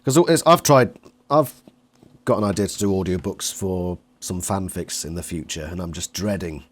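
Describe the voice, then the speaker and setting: A man hosting a casual interview, chatty and quick to interject. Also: deep voice. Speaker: interviewer and channel host.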